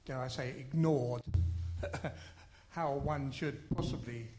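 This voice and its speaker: A man at a church conference explaining his team's approach, animated and self-deprecating. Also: mid-range voice, slow pace, over music. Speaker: conference delegate.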